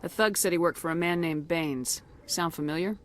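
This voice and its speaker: An actress playing a resolute, no-nonsense military commander in a video game, direct and assertive. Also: female voice, fairly low pitch, moderate pace, close to an everyday voice. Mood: businesslike. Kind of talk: video game dialogue acting.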